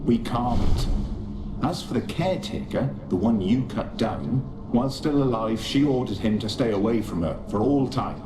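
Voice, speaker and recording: A man's voice: medium pitch, animated, normal speed, natural voice. A man playing a sardonic, cold spirit servant in a video game, speaking tersely with dry detachment. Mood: cold, detached. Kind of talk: video game character dialogue. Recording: echoing reverb, game music behind.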